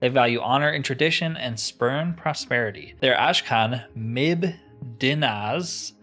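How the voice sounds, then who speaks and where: A man's voice: mid-range voice, a little slow, fairly bright tone, lightly acted. World-weary fantasy tribesman, voiced by a man, grave and cautioning, light music beneath. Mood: wary, grave.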